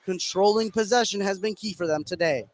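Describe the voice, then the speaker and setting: A man's voice: high voice, brisk. A man doing live basketball play-by-play on the radio, narrating the action in short running phrases. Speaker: play-by-play commentator. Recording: noisy live gym broadcast.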